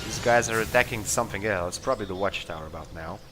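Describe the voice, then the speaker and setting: Guy with a lowish voice doing a casual lets play, hesitant, thinking out loud, game music in the back. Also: quick pace. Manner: hesitant, thinking aloud while deciding moves. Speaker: let's play host. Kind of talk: casual improvised gameplay commentary. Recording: game music under commentary. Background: video game music and battle sounds.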